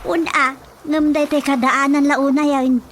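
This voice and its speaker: A woman's voice: high voice, level tone, normal speed, fairly bright tone, slight background noise. A woman playing a chatty, teasing character in an Ilocano comedy radio drama, with an Ilocano accent and frequent interjections.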